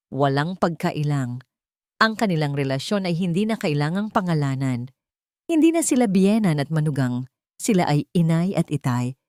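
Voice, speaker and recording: Woman reading a love story, kinda low voice, expressive and measured, super dry sound. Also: dry recording. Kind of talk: scripted narration of a sentimental love story.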